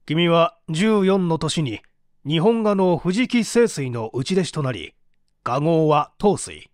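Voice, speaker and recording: An actor playing a composed male prosecutor who questions a suspect in a measured, formal and probing way, in a radio drama. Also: fairly high pitch, animated, no echo, clean studio sound. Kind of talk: dramatized mystery reading.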